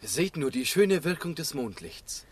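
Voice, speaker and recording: An actor playing a sober, reasonable gentleman of the 1770s in a literary radio drama, speaking in an emphatic, reasoning manner. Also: fairly high pitch, sing-song, unhurried, slightly rough, full character voice. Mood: solicitous.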